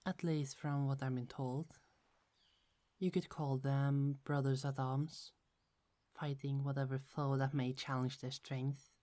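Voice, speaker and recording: A woman voicing a gentle, chatty young goddess in an ASMR roleplay, speaking softly with frequent little laughs. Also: extremely low pitch, flat delivery, takes it slowly. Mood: friendly. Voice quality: soft, half-whispered, giggly.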